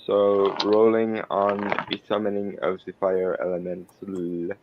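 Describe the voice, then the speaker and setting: A man's voice: low voice, monotone, a little slow, a little hiss or hum. A man playing a tabletop roleplay game, discussing moves casually with precise, wordy phrasing. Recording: dry, dull lo-fi close audio.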